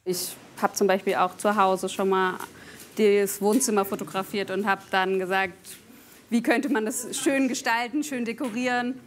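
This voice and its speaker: A woman's voice: medium pitch, fairly even intonation, moderate pace, slight background noise. A woman customer chatting casually in a spontaneous interview.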